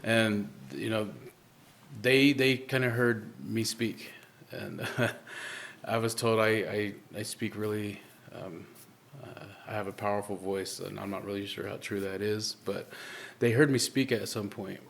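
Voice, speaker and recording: A man with a fairly low voice, a guest at a live podcast taping. He talks earnestly, feeling his way through each thought with pauses and restarts. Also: fairly even intonation, creaky, a bit bright, a little hiss or hum. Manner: halting, many fillers and restarts, earnest. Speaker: guest speaker.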